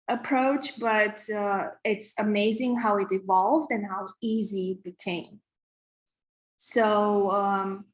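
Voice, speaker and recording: A woman in her thirties from Romania with a Romanian accent, teaching a live online coding class in a halting way, full of fillers and restarts. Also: medium pitch, echoey room, rough recording. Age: 30s. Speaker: coding instructor.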